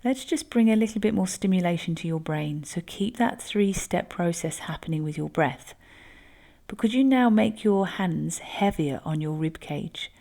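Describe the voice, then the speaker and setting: A woman instructor leading a guided breathing exercise, speaking gently and unhurriedly. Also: lowish voice, vocal fry.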